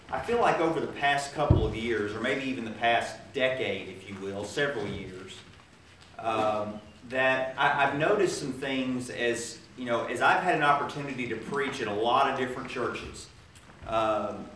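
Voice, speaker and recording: A man's voice: mid-range voice, a bit bright, a little hiss or hum. Man preaching in an echoey church. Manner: conversational, halting, many restarts and fillers. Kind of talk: sermon. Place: church sanctuary. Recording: echoey room from a distant mic.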